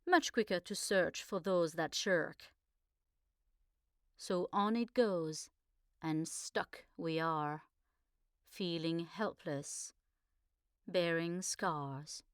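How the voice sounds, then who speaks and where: A woman's voice: lowish voice, very slow, long pauses, clear, resonant tone, dry recording. Woman reading in a dry, close recording. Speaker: podcast presenter and poetry reader. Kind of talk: poetry recital and scripted announcements.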